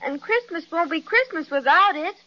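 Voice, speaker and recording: A woman's voice: very high voice, lively intonation, normal speed, sharp tone, heavily acted. A timid sweet little girl. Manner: timid, polite. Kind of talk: 1930s radio drama acting. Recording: muffled 1930s radio transcription.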